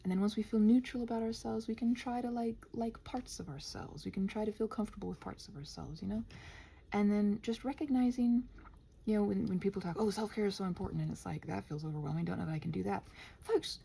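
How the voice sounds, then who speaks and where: A woman in a casual, improvised stream-of-consciousness ramble, halting and interrupting herself, often slipping toward a half-whisper, with a gentle encouraging manner. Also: medium pitch, creaky, slightly rough. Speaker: host of an improvised ramble audio for body doubling.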